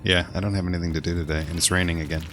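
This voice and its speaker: A man's voice: sits low, flat delivery, very fast, a bit bright, faint music behind. A man giving casual live gameplay commentary as a streamer, rambling and thinking aloud. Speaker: game streamer.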